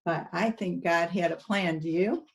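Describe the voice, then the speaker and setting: A woman's voice: lowish voice, measured pace. A woman preschool teacher reading a storybook aloud expressively to young children, with little asides to her listeners.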